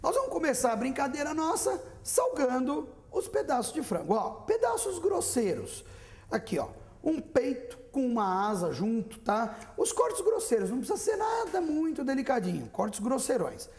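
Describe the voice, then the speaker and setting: A man's voice: extremely high pitch, normal speed. A man in his thirties, a TV chef in a studio kitchen, chatting to viewers while he cooks. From Brazil. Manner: lively, chatty, addressing viewers directly. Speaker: TV cooking show chef. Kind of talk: cooking demonstration. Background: frying and sizzling.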